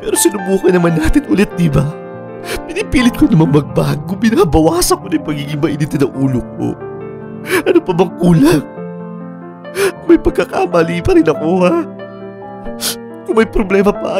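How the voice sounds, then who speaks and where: A man's voice: high-pitched, wildly swooping pitch, normal speed, gravelly, exaggerated voice. A man acting in a radio drama as a desperate husband, earnestly and insistently imploring his wife to come back. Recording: music bed behind the voice.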